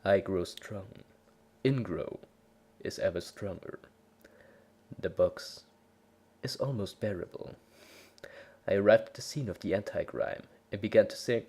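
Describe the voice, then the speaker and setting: Slow, theatrical man doing dramatic reading. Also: lowish voice, husky. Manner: theatrical, bombastic, swinging from whispers to shouts. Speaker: amateur reader of fan fiction. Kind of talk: over-the-top dramatic reading of a story.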